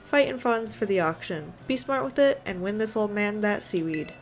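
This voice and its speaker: Woman voicing old man gym leader, excitable, folksy, booming catchphrase, pitch jumping high. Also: normal speed, faint music behind, natural voice.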